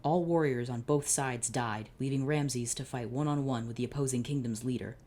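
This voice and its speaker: A man's voice: medium pitch, moderate pace, a little mellow. Male host reading fanfiction aloud; stumbling, sarcastic asides. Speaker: channel host.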